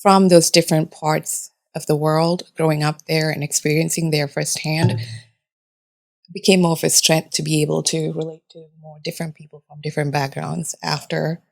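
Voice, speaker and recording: A woman's voice: low voice, normal speed, creaky. A woman in her thirties from Sri Lanka whose first language is Japanese, chatting as a podcast guest and laughing often. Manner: laughs often, relaxed storytelling. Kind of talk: casual podcast chat.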